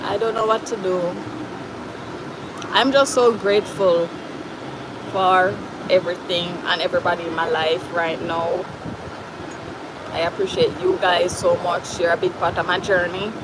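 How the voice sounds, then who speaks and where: A woman's voice: fairly low pitch, steady tone, moderate pace, pauses often, very noisy. A woman with a Jamaican accent hosting a casual livestream, reading out viewer comments in a chatty way and slipping into Patois phrases. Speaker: livestream host.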